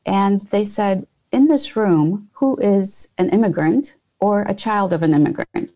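Low, mellow-voiced woman interviewee. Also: poor audio. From Poland. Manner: earnest, with frequent fillers and restarts. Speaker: guest entrepreneur. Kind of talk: conversational interview.

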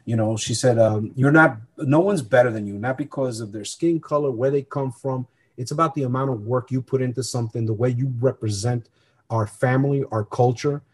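A middle-aged man raised in New Jersey speaks as a guest in an interview. His delivery is conversational and earnest, with a medium-pitched voice that moves along like personal storytelling. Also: brisk, slightly rough. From born in Colombia, grew up in New Jersey.

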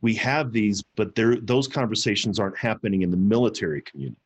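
A man's voice: fairly low pitch, fast, husky. A middle-aged American man from Missouri talking earnestly and reflectively in a serious conversational interview. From Missouri, United States.

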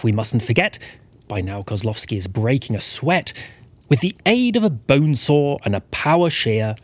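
A man's voice: medium pitch, breathy edge, no room sound. A man narrating a scripted story in rhyme, like a dramatic storybook teller. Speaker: co-narrator and writer.

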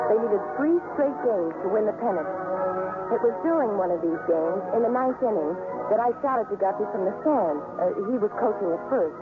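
A woman's voice: mid-range voice, close to an everyday voice. An actress playing a caring young woman who narrates her own story in a 1950s radio drama. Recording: muffled 1950s radio recording, music behind.

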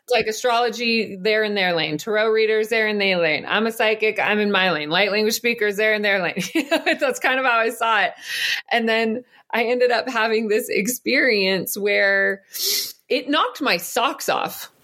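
A woman's voice: medium pitch, normal speed, a bit bright. A middle-aged woman being interviewed, speaking earnestly and fluidly about spiritual topics, often breaking into laughs. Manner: earnest, flowing, laughs easily. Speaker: podcast guest, psychic and business coach.

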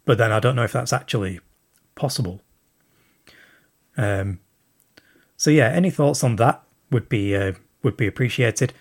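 A man with a husky, slightly breathy voice leaves a long recorded message for a show. He thinks aloud as he goes, circling back with plenty of ums. His voice sits at an ordinary middle pitch. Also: moderate pace, pauses often. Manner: rambling, thinking aloud with many fillers. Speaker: listener sending in a question.

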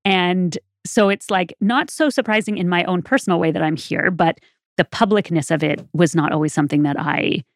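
Lowish-voiced woman co-host with vocal fry. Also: normal speed, no room sound, clean studio sound. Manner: conversational, anecdotal. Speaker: podcast co-host. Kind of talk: casual chat, reflecting on the show.

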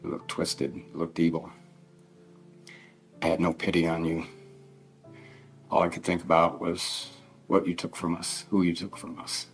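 A middle-aged man with a very deep voice speaks solemnly and haltingly, heavy with grief. His tone is gravelly and he repeats himself as he addresses someone directly in a formal statement. Faint guitar music sits underneath. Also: completely flat delivery. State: emotional, grieving. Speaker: victim's father giving impact statement. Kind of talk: spoken victim impact statement in court. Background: faint plucked guitar music.

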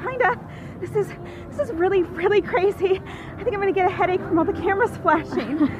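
A woman's voice: extremely high pitch, fairly quick. An actress playing an earnest, media-trained fourteen-year-old girl film star at a red carpet interview in an audio drama. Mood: giddy, flustered. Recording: dull lo-fi clip under loud music.

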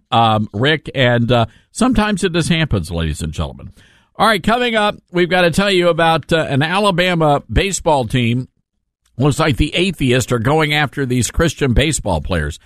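A man's voice: a little high, sing-song, moderate pace, dry recording, clean studio sound. A man hosting a conversational call-in radio show, with a sardonic, joking and emphatic way of talking. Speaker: radio talk show host.

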